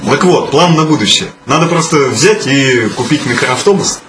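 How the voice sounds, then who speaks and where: A man's voice: medium pitch, lively intonation, normal speed, a bit bright. A man in his early thirties talking casually in a group discussion, with an ironic, self-deprecating manner. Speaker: musician guest answering questions. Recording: echoey room with clear background noise.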